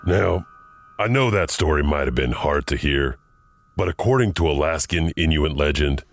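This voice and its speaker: A man narrating legends in a measured, storytelling manner, with faint music behind. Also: a bass voice, animated. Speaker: narrator host. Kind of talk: scripted horror narration.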